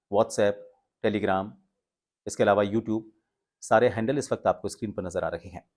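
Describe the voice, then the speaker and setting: Man teaching in a measured explanatory way. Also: medium pitch. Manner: explanatory, pausing and stressing key words. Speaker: voice coach and video presenter. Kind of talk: instructional talk to viewers with spoken examples.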